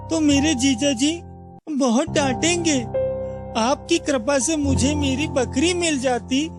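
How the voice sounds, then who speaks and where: A man voicing a simple, naive young village goatherd in an animated moral story, innocent and full of wonder. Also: very high-pitched, pitched above the performer's own voice. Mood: humble pleading.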